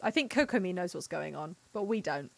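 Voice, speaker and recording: A woman's voice: lowish voice, animated, a little fast, vocal fry, dry recording. A woman giving casual, chatty livestream commentary on a video game, playful and given to sing-song exclamations. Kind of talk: casual gaming stream commentary. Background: faint music.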